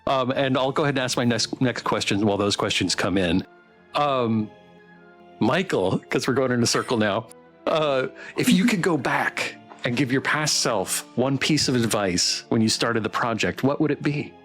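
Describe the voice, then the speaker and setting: A man's voice: mid-range voice, expressive, moderate pace, a bit bright, soft background music. A man hosting a casual livestream developer Q&A, interviewing guests in an encouraging, affirming way.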